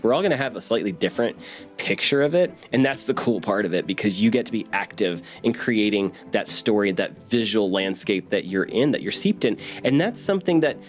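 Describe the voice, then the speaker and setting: Lower-voiced man explaining casually. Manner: informal, often checks in with "right?". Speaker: executive producer.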